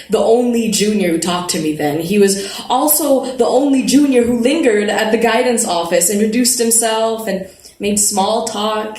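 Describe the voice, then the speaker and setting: A woman's voice: on the high side, a little mellow. Woman narrating a novel in a roomy venue. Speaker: reader of a first-person novel. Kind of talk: dramatic live reading, conversational first-person narration. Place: live literary event at an arts festival. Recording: roomy live venue, background noise.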